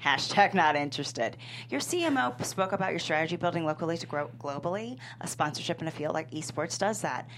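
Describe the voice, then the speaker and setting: Tough, persuasive businesswoman played by an actress, gravelly low register, wry, slight background hum. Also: lively intonation, fairly quick. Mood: assertive, sly. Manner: wry, assertive. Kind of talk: script table read.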